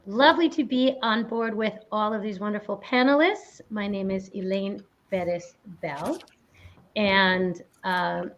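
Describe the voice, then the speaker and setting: Woman from puerto rico, gracious, mid pitch, a bit muffled. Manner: warm, gracious, with pauses. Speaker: panelist.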